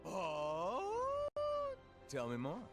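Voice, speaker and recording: Teasing confidant: inquisitive, playfully theatrical, hugely animated intonation, game cutscene with music bed. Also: male voice, a little high, very slow, exaggerated voice. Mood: intrigued. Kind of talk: video game cutscene acting. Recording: music under the voice.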